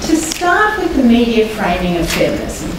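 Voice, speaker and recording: An older Australian woman with a croaky voice gives a formal public lecture in a measured, deliberate way, pausing often to hesitate. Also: mid-range voice, wildly swooping pitch. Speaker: guest lecturer. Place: large lecture hall. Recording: echoey hall, mic far off, noisy.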